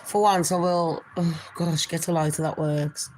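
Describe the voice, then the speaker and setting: A woman's voice: deep voice, fairly even intonation, a little slow, a little mellow, slight background noise, poor audio. A woman with a nasal voice, hosting a casual gossip livestream and chatting in a rambling way to her viewers. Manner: chatty, rambling, calls viewers people and darlings. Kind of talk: casual live chat to viewers.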